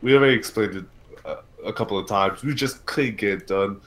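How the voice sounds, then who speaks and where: A man hosting a casual podcast livestream about films and games, talking haltingly and repeating words as he thinks aloud. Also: on the low side, a bit bright.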